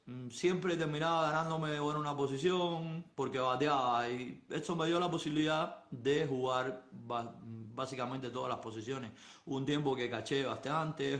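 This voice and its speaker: A middle-aged man from Cuba, telling his story slowly in a live-stream interview, pausing often to search for words. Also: mid-range voice, roomy sound. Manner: unhurried, thoughtful storytelling with many pauses and fillers. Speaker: softball coach. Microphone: phone on a live video stream.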